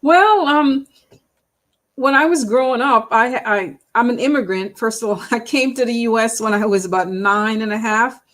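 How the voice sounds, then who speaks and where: A woman's voice: fairly high pitch, normal speed, a bit bright. Trinidadian woman, earnest interview guest. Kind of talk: conversational interview.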